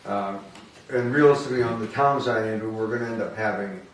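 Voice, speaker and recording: A man's voice: on the low side, fast talker, far from the mic. Man in a very echoey, noisy meeting room. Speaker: town budget committee member leading a meeting. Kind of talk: informal public meeting discussion. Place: indoor meeting room, public town meeting. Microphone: distant room microphone.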